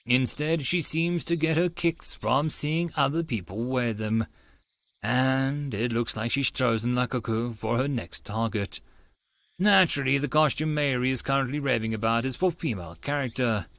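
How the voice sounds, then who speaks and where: A man narrating a first-person story as an audiobook reader, in an expressive storytelling style. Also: mid-range voice, normal speed, no echo.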